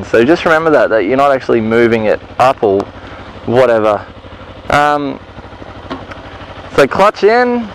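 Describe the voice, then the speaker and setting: Guy explaining gears on his bike, chatty, lots of uh and um, muffled helmet sound with faint engine hum. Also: mid-range voice. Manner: relaxed, rambling, many fillers and restarts. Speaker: motorcycle riding instructor. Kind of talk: casual step-by-step tutorial while riding. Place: outdoor car park on a slow-moving motorbike. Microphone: helmet-mounted mic with visor down.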